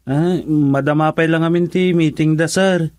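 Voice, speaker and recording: Guy playing jittery ilocano assistant, polite to the boss, highish voice, dry radio drama sound. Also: steady tone, measured pace, darkish tone, no room sound, natural voice. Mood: nervous. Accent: Ilocano Filipino. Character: nervous, deferential male assistant named David.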